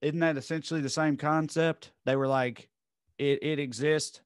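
A man in his thirties hosting a podcast, chatting in an animated, questioning way over a video call with a boom mic. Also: moderate pace, clean tone, no echo, clean studio sound. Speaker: podcast host. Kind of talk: casual chat. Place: home studio with blue backdrop.

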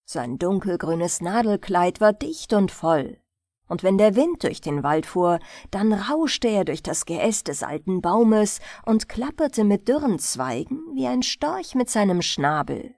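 Woman reading bedtime fairy tales, slow and expressive, really dry close sound. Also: medium pitch, no room sound. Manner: expressive, measured storytelling. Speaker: audiobook narrator. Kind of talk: scripted fairy-tale narration, bedtime storytelling.

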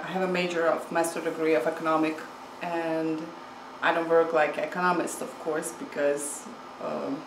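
A woman in her forties from Hungary with a strong Hungarian accent, answering interview questions in a halting way with many fillers, recorded indoors at home on a lapel mic. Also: on the low side, roomy sound, noisy background. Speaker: home microgreens grower. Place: home room with window, indoors.

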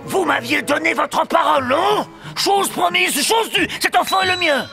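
A man voicing a sly, taunting gnome sorcerer with a gravelly voice in a fairytale cartoon. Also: normal speed. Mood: gloating, demanding. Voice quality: gravelly, high-pitched. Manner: theatrical, taunting. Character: sly, menacing gnome sorcerer, gloating and demanding. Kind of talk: French-dubbed fairytale cartoon acting. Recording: music behind the voice.

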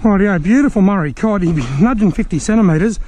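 An Australian man giving chatty, excitable commentary while fishing on a riverbank, filmed on an action camera and talking to the fish he catches. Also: high voice, quick pace, background noise. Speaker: fishing vlogger. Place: outdoors on a riverbank.

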